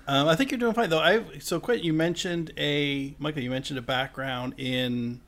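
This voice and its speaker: A man's voice: fairly high pitch, normal speed, a bit bright. A man co-hosting an interview, asking questions hesitantly while he searches for words. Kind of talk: casual interview.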